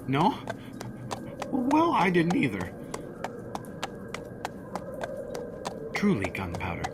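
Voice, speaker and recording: A man's voice: mid-range voice, very noisy, full character voice. A man playing a timid, superstitious, fussy schoolmaster on horseback in an audio drama, talking nervously and theatrically to himself and his horse. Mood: spooked whispering. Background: horse hooves and night ambience.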